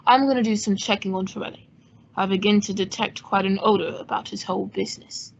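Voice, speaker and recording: A woman playing a cool, wry gentleman sleuth in old-time radio style, with an understated, dry wit. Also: mid-range voice, fairly even intonation, a little fast, vocal fry, a little hiss or hum, lightly acted. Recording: dull lo-fi audio.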